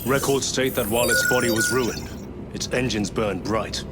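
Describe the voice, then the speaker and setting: An actor playing a learned, serious space-soldier sergeant who speaks in a measured, thoughtful way in a game cutscene. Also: male voice, medium pitch, heavy background noise, natural voice. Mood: earnest explaining. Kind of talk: dramatic game cutscene acting.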